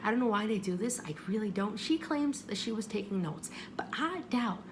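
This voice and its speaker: A woman vlogger telling a story casually in the first person, rambling and expressive, with self-deprecating, apologetic asides, on a homemade-sounding recording. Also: mid-range voice, a little fast, noisy background.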